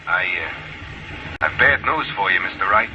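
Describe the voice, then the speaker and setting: A muffled old radio recording with slight noise carries a male patrol officer. His lowish voice is sombre, halting and reluctant. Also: expressive, pushed and bright, full character voice. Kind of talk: 1940s-50s radio drama acting.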